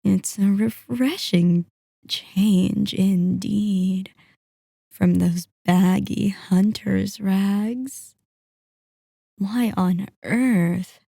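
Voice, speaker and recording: A woman playing a seductive, sadistic vampire in an ASMR roleplay, courtly and teasing, with breathy murmurs. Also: medium pitch, dark tone, no room sound, clean studio sound, heavily acted. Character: seductive, sadistic, possessive female vampire, toying host. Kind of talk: ASMR roleplay, scripted character acting.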